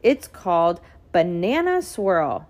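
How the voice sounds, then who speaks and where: A gentle, encouraging mama tiger from a picture book, as a woman reader acts her. Her intonation is highly animated. She coaxes cheerfully and with motherly care. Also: slow pace. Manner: nurturing, coaxing, cheerful.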